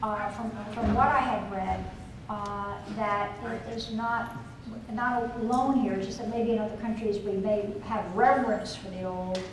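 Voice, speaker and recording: A woman's voice: medium pitch, fairly even intonation, a little slow. An older woman giving a keynote lecture and answering audience questions, thoughtful and hesitant with many fillers. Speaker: keynote speaker. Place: large conference hall. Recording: echoey hall, voice from a distance, noisy.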